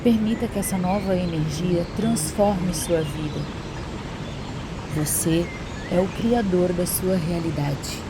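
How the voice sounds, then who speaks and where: A Brazilian woman narrating a scripted guided meditation in a soothing, measured, instructional manner. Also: on the low side. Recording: constant waterfall noise behind the voice. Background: waterfall and nature sounds.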